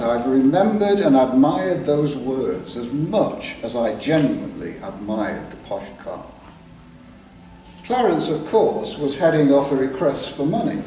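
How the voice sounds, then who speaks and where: An older man with an English East Midlands accent, telling a story live with careful diction and dramatic pauses. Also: fairly high pitch, mellow. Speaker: author reading his own work. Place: live reading in a theatre clubroom. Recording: very echoey hall, distant mic, background noise.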